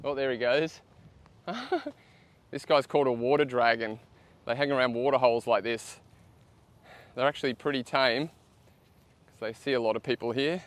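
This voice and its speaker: A man's voice: mid-range voice, normal speed, pauses often. A young Australian man vlogging his treasure hunt, chatty and eager, laughing now and then. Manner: excitable, gasping and laughing at finds. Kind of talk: casual vlog narration. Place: outdoors by a flooded quarry, in a van, and underwater. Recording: noisy outdoor camera audio.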